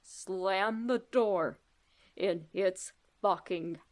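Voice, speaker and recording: A woman narrating a first-person horror story in an expressive, suspenseful way, with deliberate pauses. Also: mid-range voice.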